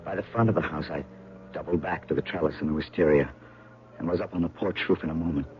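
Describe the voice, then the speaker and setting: An actor playing an earnest, nervous young painter in love in a 1970s radio drama, with a hesitant delivery. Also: male voice, rumbling low, quick pace. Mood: brooding. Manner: hesitant, stammering. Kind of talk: 1970s radio drama acting with first-person narration. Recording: tinny, noisy old radio broadcast.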